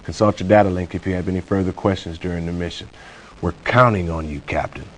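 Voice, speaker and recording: Low-voiced military briefing officer, a man acting. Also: fairly even intonation, close to an everyday voice. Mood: grave, businesslike. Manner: measured, formal. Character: grave, businesslike male military briefing officer. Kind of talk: video game mission briefing.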